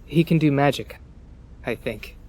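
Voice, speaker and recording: Hesitant, mid-pitched male villager acted by a man. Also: darkish tone, natural voice. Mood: hesitant, uneasy. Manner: hesitant, halting. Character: uneasy, plain-spoken male villager scavenging in ruins. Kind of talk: fantasy audio drama acting.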